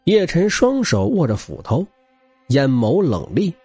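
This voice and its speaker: A male audiobook narrator tells a story with very wide, dramatic swings of intonation. His voice sits a little high for a man and has a husky edge. It is recorded close and dry, with faint music underneath. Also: huge pitch swings, unhurried, darkish tone, no echo. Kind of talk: dramatic scripted narration of a novel.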